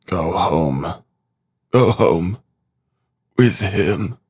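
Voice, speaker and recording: A man's voice: low voice, no echo. A man voicing a feverish, brusque young man in an audiobook, with a strained, broken voice and effortful delivery. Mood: weary, broken. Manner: slow, effortful, curt. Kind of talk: audiobook character acting.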